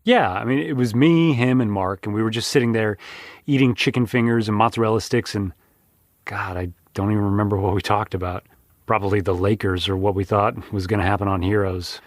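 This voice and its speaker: A man's voice: lowish voice, a little fast, no room sound, close to an everyday voice. A man playing a loyal, embittered friend of an accused man, talking casually and plainly as if interviewed. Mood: wistful. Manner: casual, sweary, plain-spoken. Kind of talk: casual interview in an audio drama.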